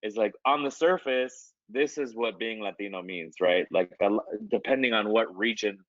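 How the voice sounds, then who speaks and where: Low-voiced man chatting loosely. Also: normal speed, dry recording, muffled recording. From United States. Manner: loose, conversational, many fillers and restarts. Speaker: artist. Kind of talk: interview answers.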